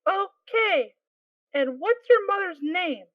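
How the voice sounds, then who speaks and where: A woman's voice: very high voice, animated, slow pace, no echo, dull audio, heavily acted. A woman voicing a stern, impatient armed unicorn mare in an amateur audio drama reading, speaking curtly and with suspicion. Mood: suspicious. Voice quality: curt, suspicious.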